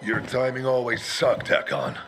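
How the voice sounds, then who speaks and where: A man playing a gruff, weary older leader with a gravelly, blunt, commanding delivery in a game cutscene. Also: medium pitch, steady tone, a little slow, a bit bright, lightly acted. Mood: blunt, grudging. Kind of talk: video game cutscene acting. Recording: music behind the voice.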